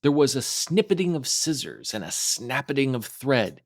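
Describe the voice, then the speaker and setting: A man's voice: mid-range voice, big pitch swings, normal speed. A man reading a classic children's tale aloud as a scripted storytime narration. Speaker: storytime host and reader.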